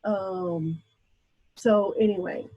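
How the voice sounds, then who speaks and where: A woman's voice: mid-range voice, steady tone, extremely slow, husky, muffled recording. A woman from Brazil teaching a class in a casual, chatty lecture style, with frequent ums and asides. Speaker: instructor.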